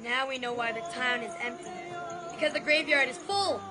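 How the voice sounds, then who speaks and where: A woman plays a curious, eager boy adventurer in a radio drama. The voice is high and youthful, set against very loud music. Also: normal speed, natural voice. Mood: awed.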